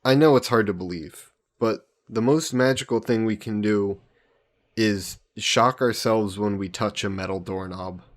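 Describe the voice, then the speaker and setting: A man's voice: lowish voice, natural voice. A young man playing an easygoing, teasing fantasy hero from Earth in a roleplay audio, chatting fondly to the listener. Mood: explaining, playful. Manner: unhurried, chatty, playfully teasing.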